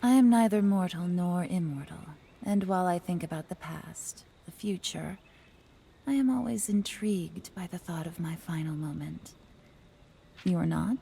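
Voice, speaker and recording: A pensive, sorrowful fox spirit from a fantasy game. Her voice is low and dusky, with a creaky edge. She speaks softly and breathily, measuring each wistful phrase. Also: moderate pace, a little hiss or hum. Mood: intrigued, probing. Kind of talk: cinematic game acting.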